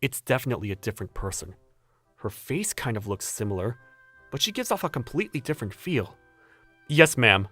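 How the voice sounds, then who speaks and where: A man acting an earnest, put-upon young office worker who narrates and voices his troubles in a manga dub, with expressive delivery. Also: medium pitch, brisk, soft background music. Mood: polite, nervous.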